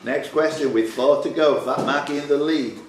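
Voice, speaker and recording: Quiz master in an echoey room. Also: male voice, fairly high pitch, normal speed. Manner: bantering, teasing contestants. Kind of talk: live pub quiz hosting, reading questions. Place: indoor club room. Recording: echoey room, clear background noise.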